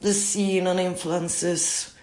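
Slow, low-voiced woman musician. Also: level tone, darkish tone. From southern coast of Norway. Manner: hesitant, many fillers and restarts. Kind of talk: casual interview answer. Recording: roomy echo.

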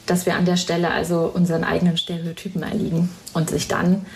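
A woman's voice: on the low side, completely flat delivery, fast talker, vocal fry. A woman in her forties explaining as an academic expert in an interview, fluent and precise. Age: 40s. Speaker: interviewee, professor.

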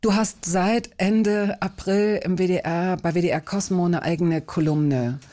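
Interviewer, woman, low and mellow voice, playful probing questions. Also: unhurried. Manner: probing, playful, hesitant fillers.

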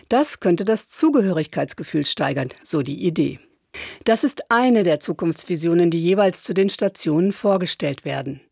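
A female radio reporter reads her scripted report at an even, measured pace. Her voice is gravelly and a little husky. The recording is dry and close to the mic. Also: mid-range voice, no echo.

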